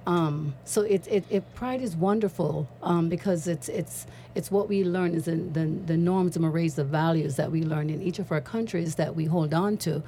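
Low, mellow-voiced woman on a panel. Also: normal speed, hardly any pauses, slight background noise. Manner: earnest, repeats words when making a point. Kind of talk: panel discussion.

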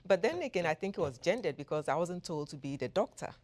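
Low-voiced woman from Ghana. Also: sing-song, fast, darkish tone. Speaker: professor, guest. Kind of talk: interview conversation.

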